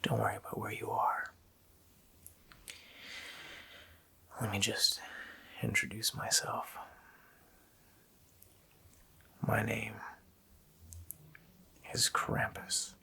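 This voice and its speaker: A man voicing a sinister, taunting Christmas demon in a slow, hoarse, menacing whisper for an ASMR roleplay. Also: fairly low pitch, completely flat delivery, pushed and bright, heavily acted. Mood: mock-gentle menace. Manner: slow, menacing, drawn-out phrasing.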